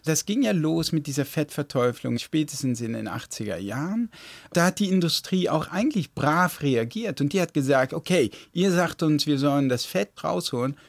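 A man whose first language is Dutch, a science journalist guest explaining things in a relaxed radio talk show interview, laughing easily. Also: fairly high pitch, moderate pace, creaky, no echo. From Netherlands.

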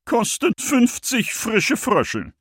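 A pompous, clattering stork. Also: male voice, very high-pitched, wildly swooping pitch, drawn-out words, fairly bright tone, slightly rough, dry recording, clean studio sound, voice pushed up, exaggerated voice. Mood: boastful. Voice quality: clattering, repeats words. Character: arrogant stuttering male stork, pompous.

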